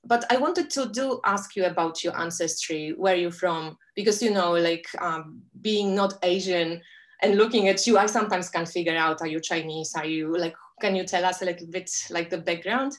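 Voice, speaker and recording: Low-voiced woman host, rambling friendly questions. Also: normal speed. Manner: friendly, rambling questions full of "like" and "you know". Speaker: interview host. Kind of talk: casual interview questions.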